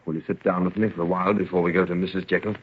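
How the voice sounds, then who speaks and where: A man playing a grave, troubled gentleman father in an old-time radio drama, solemn and melodramatic. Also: sits low, flat delivery, rapid-fire, a little hiss or hum, natural voice. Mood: grave, haunted. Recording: dull, muffled old radio recording.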